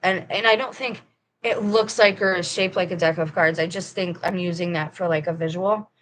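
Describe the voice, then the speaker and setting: A woman's voice: fairly low pitch, steady tone, normal speed, slight background noise. A woman talking straight to her viewers in a casual, rambling monologue, firm and preachy in tone. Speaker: vlogger.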